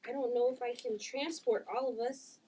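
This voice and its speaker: A woman's voice: fairly high pitch, fairly even intonation, normal speed, warm tone. A woman playing an earnest daughter in a script read-through, understated and matter-of-fact. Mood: strained worry. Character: gifted daughter with powers, plain and earnest. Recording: distant mic, clear noise, clicks.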